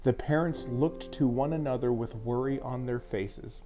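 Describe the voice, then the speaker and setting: Mellow male audiobook narrator over music. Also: mid-range voice, normal speed. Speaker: author reading own book.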